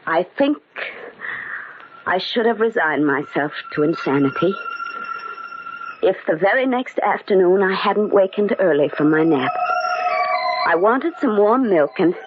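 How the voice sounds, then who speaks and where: A woman's voice: medium pitch, lively intonation, unhurried, long pauses, heavy background noise, lightly acted. An actress playing an anxious, embattled invalid lady, narrating and acting in a fraught, dramatic old-time radio style. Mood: troubled. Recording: tinny old radio recording.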